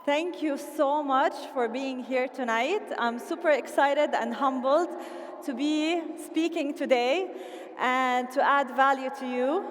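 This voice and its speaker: Lebanese woman, high voice, stage speaker in a big echoing hall; animated, playful. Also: takes it slowly, a little hiss or hum. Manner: animated and playful, rallying the crowd. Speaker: convention speaker. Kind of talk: motivational stage speech. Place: large convention hall. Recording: reverberant hall, faint ambience.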